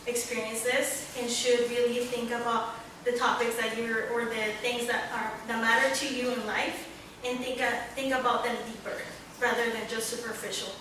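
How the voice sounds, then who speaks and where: A woman's voice: a little high, level tone. Young woman speaking far off in an echoey hall. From Mexico. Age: young adult. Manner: earnest, searching for words with many pauses. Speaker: student panelist. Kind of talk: panel talk at a book launch. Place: large echoey library hall. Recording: echoey hall, distant, room noise.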